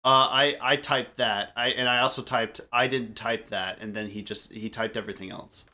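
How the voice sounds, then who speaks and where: A man chatting casually on a group podcast and telling anecdotes in an animated way, prone to laughing. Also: mid-range voice, normal speed. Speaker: podcast co-host.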